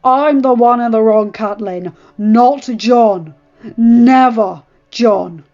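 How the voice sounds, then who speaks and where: Stern furious lord guy read by a woman, cold clipped lines, shouts a lot, dull lo-fi audio w/ faint music. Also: fairly high pitch, slow pace. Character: stern, furious middle-aged male lord.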